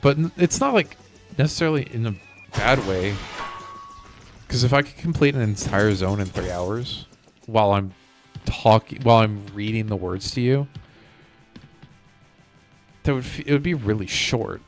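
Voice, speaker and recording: A man streaming a video game, chatting casually and rambling as he thinks out loud. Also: fairly low pitch, normal speed, long pauses. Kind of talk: casual live gameplay commentary. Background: game music.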